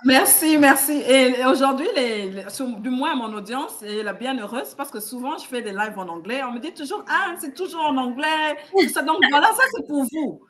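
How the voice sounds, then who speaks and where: A woman's voice: high-pitched, moderate pace. A woman hosting a casual live stream, talking to viewers in an encouraging way. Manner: encouraging, urges viewers to share.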